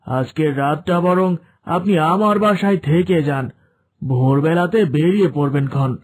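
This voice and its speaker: A man's voice: fairly high pitch, measured pace, husky. A man playing a considerate, polite passenger who sounds concerned, in a horror cartoon. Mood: concerned, kindly. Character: kindly, considerate male passenger offering hospitality. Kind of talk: Bengali horror cartoon dialogue.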